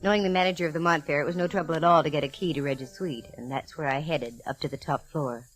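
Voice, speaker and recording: A woman's voice: sits low, fast, faint music behind, close to an everyday voice, rough recording. A woman playing a self-assured, wry American private eye who tells her case in hardboiled old-time radio style. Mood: hushed suspense. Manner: wry, breezy, wisecracking. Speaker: radio detective lead and narrator. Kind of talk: 1940s-50s American radio drama, hardboiled narration.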